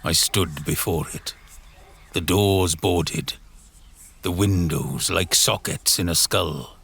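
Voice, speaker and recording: Slow, gravelly, low-voiced man narrating horror. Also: a bit bright. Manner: brooding, theatrical, halting delivery with short phrases. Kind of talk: dramatic horror audio drama narration. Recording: music bed under the voice. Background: music.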